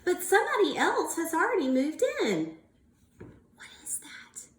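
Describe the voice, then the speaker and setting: Woman, preschool read-aloud teacher: high swooping pitch, careful exaggerated words, question-and-praise delivery. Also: unhurried, reverberant. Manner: animated, encouraging, exaggerated enunciation. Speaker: early-years teacher.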